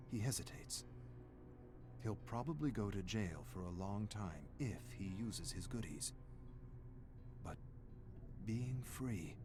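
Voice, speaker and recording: A man playing a brooding, menacing narrator who tells a dark horror game story in a deliberate, dramatic way. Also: lowish voice. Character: brooding, menacing male narrator of a sadistic doctor's thoughts. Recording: music playing behind the voice.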